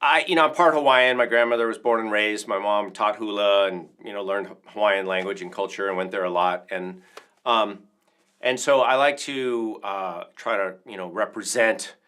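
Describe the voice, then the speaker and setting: Bright-voiced man chatting on a livestream. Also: fairly low pitch, normal speed, smooth. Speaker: livestream host, percussion teacher. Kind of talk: casual livestream chat, showing instruments.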